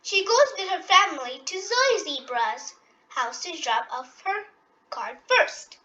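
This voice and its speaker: A reader telling a children's picture book aloud with expressive, deliberate phrasing, as if for young listeners. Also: very high voice, dull audio. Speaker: storybook reader.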